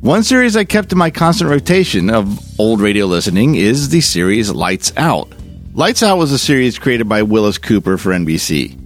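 A man hosting with scripted narration, measured and given to dramatic emphasis. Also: lowish voice, sing-song. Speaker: host. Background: music.

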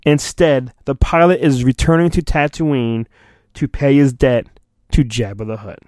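A man hosting a podcast, giving a mock-dramatic storybook reading and breaking into jokey, deadpan asides. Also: medium pitch, measured pace, no room sound. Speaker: podcast host.

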